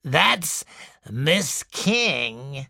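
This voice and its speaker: A man's voice: on the high side, very animated, creaky, sharp tone, slightly rough, no room sound, clean studio sound, heavily acted. Man voicing gloating tyrant old woman. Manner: slow, savoring, menacing. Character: cruel domineering elderly woman, gloating tyrant mother.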